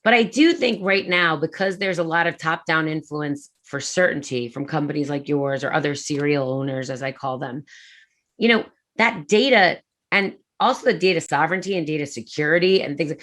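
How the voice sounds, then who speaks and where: Casting: businesswoman, deep-set voice, chatty and animated, many fillers. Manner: fast, animated, filler-heavy. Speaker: prefab industry executive. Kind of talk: conference talk conversation.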